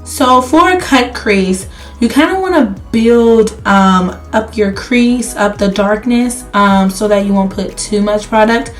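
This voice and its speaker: A woman's voice: mid-range voice, unhurried, soft background music. A woman beauty vlogger chatting casually to viewers through a makeup tutorial, her voice slightly crackly as she is losing it while under the weather. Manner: chatty, addresses viewers directly.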